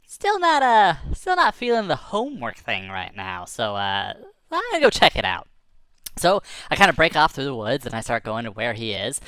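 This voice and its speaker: Male storyteller, animated intonation, loose chatty delivery full of fillers. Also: medium pitch, no echo. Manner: casual, rambling, lots of fillers. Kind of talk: telling a tall tale.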